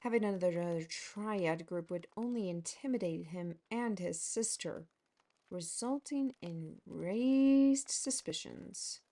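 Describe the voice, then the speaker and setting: A bold, teasing fantasy steward, played by a woman, talks in a playful, coaxing way. Her delivery is mid-pitched and leisurely, never rushed. She sounds like the lively lead of an audio drama. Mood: scheming.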